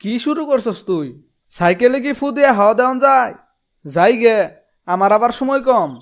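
A man's voice: very high voice, lively intonation, normal speed. A man voicing a fussy, irritable village uncle in a comedy cartoon, nagging and snappish, with a colloquial Bangladeshi accent. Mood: irritated.

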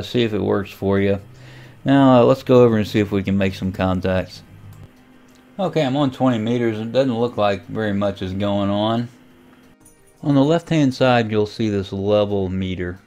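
Man, fairly low pitch, smooth clean tone, informal how-to walkthrough, hobbyist tutorial. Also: darkish tone. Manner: informal, step-by-step explaining. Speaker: amateur radio hobbyist giving a tutorial. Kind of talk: casual software and radio walkthrough.

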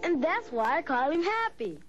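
A woman playing a proud dog owner, presenting her pet in a bright, showy way. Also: sits high, very animated, normal speed. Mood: cheerful. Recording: lo-fi TV audio with clear noise.

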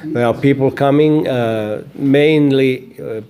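An older man from Finland speaks haltingly, pausing often over his words. He is recorded on a lapel mic in an indoor hallway of a conference venue. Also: mid-range voice, dark tone. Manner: hesitant, halting with fillers. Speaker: church leader. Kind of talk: casual interview.

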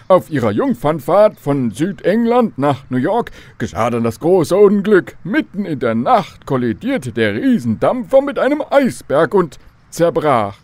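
An actor playing a courteous, old-fashioned, learned professor in a children's radio drama, formal and pedantic. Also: male voice, fairly high pitch, darkish tone, dry recording.

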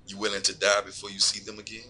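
A man's voice: on the low side, monotone, quick pace, bright, forceful tone. A man playing a smug, corrupt lawman in an audio drama, taunting and profane as he coolly threatens his informant. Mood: cold, taunting. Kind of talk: scripted audio drama dialogue.

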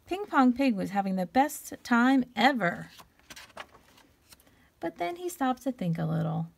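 A woman reading a children's storybook aloud like a teacher, expressively, stretching key words and pausing to ask questions. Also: on the high side, very animated, gravelly, slight background noise.

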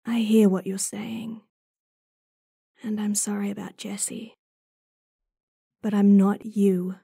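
Casting: actress for idealistic young she-wolf, audio roleplay, earnest and stubborn, creaky, dark, slow and close-miked dry. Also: mid-range voice, level tone, dry recording, heavily acted. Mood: earnest, resolute. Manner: earnest, defiant, restrained. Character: idealistic, stubborn young female werewolf in love. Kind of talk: audio roleplay drama acting.

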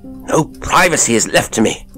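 A man voicing a tormented, haunted gentleman in an audiobook, with a strained, feverish, exclamatory delivery. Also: moderate pace, faint music behind. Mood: anguished dread. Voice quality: high, keen, strained when excited. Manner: feverish, exclamatory, repeating himself. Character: frantic, tormented gentleman, haunted and near breakdown.

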